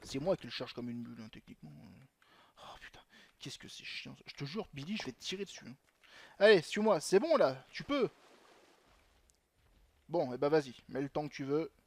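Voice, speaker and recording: A man's voice: on the high side, big pitch swings, a little fast, long pauses, dry recording. A young man commenting on his gameplay in a chatty way, swearing often and talking to himself. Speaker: gaming channel host.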